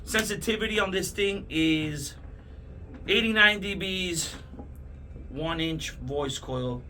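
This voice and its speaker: A man's voice: high-pitched, takes it slowly, bright, forceful tone, a little hiss or hum. A man hosting a casual product unboxing, talking enthusiastically to viewers in short, punchy, repeated phrases. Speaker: host of product unboxing videos. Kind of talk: casual hype product demo to camera.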